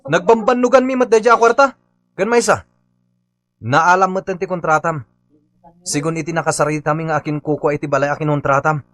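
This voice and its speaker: A man's voice: fairly high pitch, brisk, fairly bright tone, no echo, natural voice. A man playing a stubborn, aggrieved Filipino labourer in a radio comedy drama, insistent and accusing. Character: aggrieved, stubborn male labourer demanding his unpaid wages.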